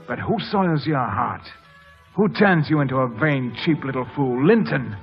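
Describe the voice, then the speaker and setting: An actor playing a brooding, passionate young stable hand in mid-century radio drama, speaking with bitter, theatrical intensity. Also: male voice, medium pitch, lively intonation, normal speed, creaky. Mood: bitter, wounded. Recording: dull old radio recording with music.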